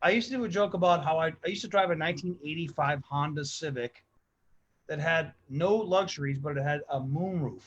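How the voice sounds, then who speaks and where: A man's voice: fairly high pitch, fairly quick, a bit bright. A middle-aged man hosting a comedy show, telling stories in a rambling way and repeating himself. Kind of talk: casual chat. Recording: dull lo-fi sound.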